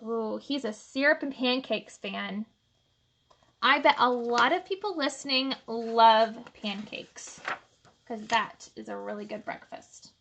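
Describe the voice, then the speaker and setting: A woman's voice: fairly high pitch, slow, gravelly, slight background noise. A woman hosting a children's storytime, reading aloud expressively with chatty, encouraging asides. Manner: expressive, encouraging, asks the listeners questions. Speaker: storytime host reading picture books to children.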